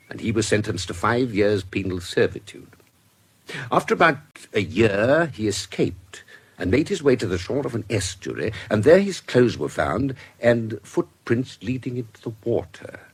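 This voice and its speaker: Low, husky British shrewd, composed investigator. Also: male voice, very animated, full character voice. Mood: measured, insinuating. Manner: formal, measured, deliberate storytelling. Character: shrewd, composed male investigator recounting a case, precise and discreet. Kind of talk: British radio drama acting.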